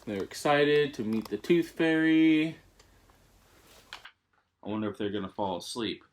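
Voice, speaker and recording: A man's voice: medium pitch, lively intonation, unhurried, a little hiss or hum. A man reading a children's storybook aloud expressively, with simple asides for young listeners.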